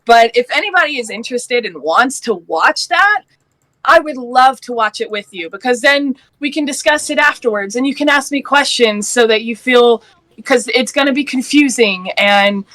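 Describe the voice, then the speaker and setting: A woman's voice: on the high side, lively intonation, normal speed, fairly bright tone, no room sound. A woman in her late thirties from Georgia, talking earnestly and passionately in a casual panel discussion, laughing now and then. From Georgia, US Deep South. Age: 30s.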